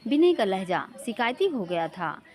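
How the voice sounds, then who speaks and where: A woman's voice: medium pitch, sing-song, measured pace, darkish tone. A woman narrating an emotional story from a script, as a storyteller.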